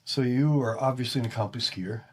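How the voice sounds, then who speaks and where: A man hosting an interview podcast, asking questions in a relaxed way and often affirming his guest with short replies. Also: mid-range voice, a little fast, slightly rough. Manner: warm interjections, frequent backchannel affirmations. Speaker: podcast host. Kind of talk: casual interview, scripted intro.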